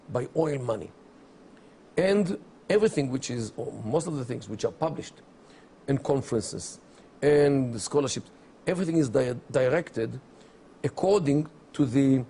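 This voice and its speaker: Middle-aged Israeli man, English, gravelly, slow and measured, faint hiss behind. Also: mid-range voice, warm tone. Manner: deliberate, with many pauses and fillers. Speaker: interview guest, academic. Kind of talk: measured explanatory interview answers.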